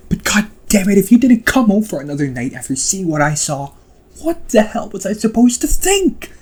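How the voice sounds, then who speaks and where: A man playing a protective, exasperated ghost brother in an emotional amateur audio roleplay, speaking in a husky voice. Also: sits high, big pitch swings, moderate pace. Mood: angry, alarmed. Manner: emotional, swearing, swings from outbursts to soft confessions.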